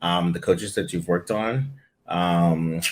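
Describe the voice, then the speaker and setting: A man's voice: low voice, steady tone, takes it slowly, crowd noise. A man, a voiceover audition director, answering interview questions in a conversational way with frequent ums and restarts.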